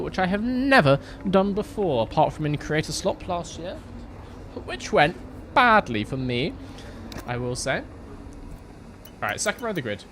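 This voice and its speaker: A man's voice: mid-range voice, a bit bright, a little hiss or hum. A young man streaming a racing game, chatting casually and joking with his chat as he reads it. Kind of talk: casual gaming livestream chat.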